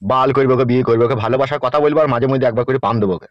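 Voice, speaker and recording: Cartoon politician, male actor, crude smooth talker, quick delivery, close dry sound, West Bengal Bengali accent. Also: medium pitch, steady tone, no room sound, highs cut off, lightly acted. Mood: bossy, crude. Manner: crude, brash, smooth-talking. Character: scheming, lecherous, bossy male politician. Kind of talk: comedy cartoon voice acting.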